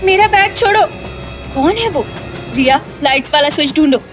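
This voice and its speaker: A woman's voice: high-pitched, lively intonation, moderate pace, over music. A woman playing frightened, protective young female relatives in a melodramatic story, anxious and urgent.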